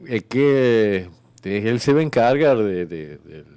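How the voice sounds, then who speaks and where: An actor playing an easygoing, evasive celestial clerk in a comic radio play, rambling and hesitant, with a colloquial Argentine accent. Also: male voice, mid-range voice, lively intonation, measured pace, a little mellow, clear, resonant tone, no echo, lightly acted.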